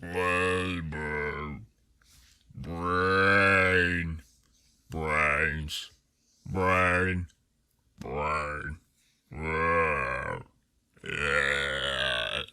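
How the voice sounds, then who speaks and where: A man voicing a groaning British factory-worker zombie for a game, speaking in slurred, drawn-out moans. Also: extremely low pitch, fairly even intonation, bright, forceful tone, smooth, dry recording, clean studio sound, pitched below the performer's own voice, exaggerated voice. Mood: groaning, hungry. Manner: drawn-out single-word moans.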